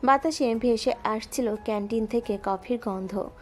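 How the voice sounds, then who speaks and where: A woman narrating a romantic audio drama in a calm, steady storytelling voice. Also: fairly high pitch, unhurried, soft background music.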